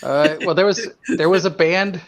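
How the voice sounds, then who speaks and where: A man's voice: on the high side, moderate pace. A middle-aged man co-hosting a casual drawing stream, playful and teasing, full of music trivia. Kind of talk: casual chat while drawing.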